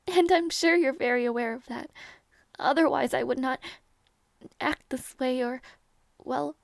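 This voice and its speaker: A high-voiced young princess, played by a woman in an audio roleplay, proud and teasing yet easily flustered. She talks slowly with a gravelly edge, stumbling over words and sometimes dropping to a hush. The sound is dry and close. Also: no room sound. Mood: tender. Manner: stammering, teasing, drops to soft whispers.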